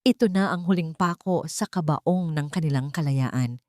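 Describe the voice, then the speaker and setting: A woman voicing a stern, wealthy man who speaks gravely and firmly in an audio drama. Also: a little high, normal speed, natural voice.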